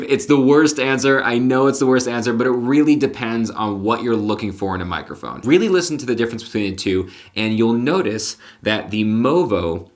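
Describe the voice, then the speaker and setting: A man with a deep voice, vlogging casually to the camera and explaining gear with frequent restarts. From Connecticut, USA. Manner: chatty, explanatory, with filler restarts. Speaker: vlogger and magician. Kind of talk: casual vlog talk to camera. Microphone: camera-mounted shotgun mic.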